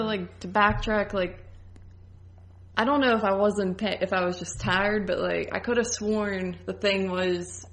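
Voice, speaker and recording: A woman's voice: fairly low pitch, low-quality recording. A woman co-hosting a podcast, talking through a film in casual, conversational review chat. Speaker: podcast co-host.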